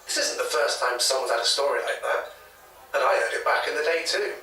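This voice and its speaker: A man with a British accent playing a hesitant, gossipy local retelling a ghost story in a halting, chatty way for an audio drama. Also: mid-range voice, sharp tone, distant mic, lightly acted. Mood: gossipy, guarded. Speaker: interviewee, former hotel worker. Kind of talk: naturalistic audio drama interview. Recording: echoey taped interview, off mic.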